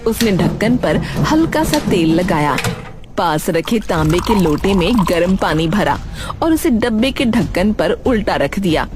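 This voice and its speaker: A woman's voice: medium pitch, sing-song, measured pace, music in the background. A woman narrating a scripted cartoon story.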